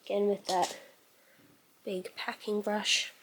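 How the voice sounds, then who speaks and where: A woman talks slowly at a medium pitch through a casual makeup tutorial. She thinks aloud as she works, and her sentences often trail off. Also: little pitch movement, a bit bright, a little hiss or hum. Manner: musing aloud while working, sentences trailing off. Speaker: beauty vlogger giving a makeup tutorial.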